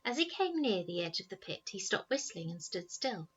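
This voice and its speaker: A woman narrates a children's storybook with a bright, clear-cut edge to her voice. She reads expressively, as a teacher does to pupils, at a middling pitch. The recording is a little dull and lo-fi. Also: sing-song, moderate pace.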